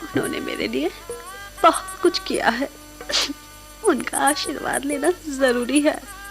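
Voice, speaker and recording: A woman's voice: high voice, a little slow, vocal fry, faint music behind, poor audio. An actress playing a timid, devoted young woman in love in a Hindi radio play. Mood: tearful, pleading. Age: young adult.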